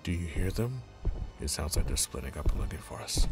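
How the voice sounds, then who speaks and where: A man acting a cornered, anxious informant in an audio roleplay, pleading and explaining tactics urgently in a husky voice. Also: sits low, animated, fairly quick. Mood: tense, wary. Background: music.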